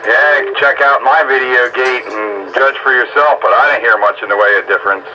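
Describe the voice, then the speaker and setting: A man's voice: medium pitch, brisk. A man bantering casually over two-way radio. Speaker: amateur radio operator. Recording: muffled, noisy two-way radio transmission.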